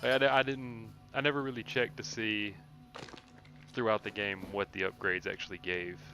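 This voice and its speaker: A man's voice: lowish voice, flat delivery, moderate pace, bright, forceful tone, faint music behind. A man giving casual gameplay commentary as a streamer, thinking aloud in an informal, slangy way. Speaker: game streamer.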